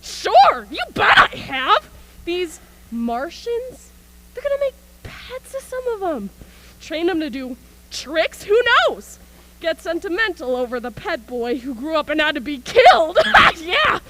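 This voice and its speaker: A woman's voice: very high voice, sing-song, unhurried, sharp tone, exaggerated voice, low-quality recording. A woman acting an embittered, manic militia soldier in a stage radio play, ranting in colloquial speech about surviving an invasion. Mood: manic, scheming. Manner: colloquial, ranting, punctuated by scornful laughs. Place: school auxiliary gym.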